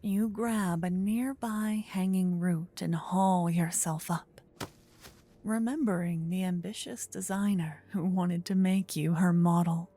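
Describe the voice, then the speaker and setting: A woman softly narrating a scripted fantasy ASMR story, breathy and often close to a whisper on a binaural mic. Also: on the low side, steady tone, slow. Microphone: close binaural microphone.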